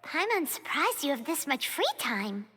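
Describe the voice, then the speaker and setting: A woman voicing a tiny, cheeky, excitable floating companion in a video game, with a squeaky childlike manner. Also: normal speed, in a large hall, faint music behind, full character voice. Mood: curious, puzzled.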